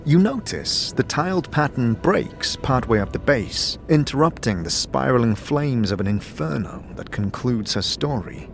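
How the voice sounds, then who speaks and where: A man giving measured, atmospheric second-person narration of a fantasy story as game master. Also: medium pitch, expressive, creaky, soft background music.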